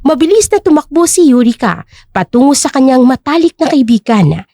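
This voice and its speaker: High-voiced woman, dramatic storyteller. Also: big pitch swings, brisk, warm tone. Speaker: story narrator. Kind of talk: dramatic scripted narration.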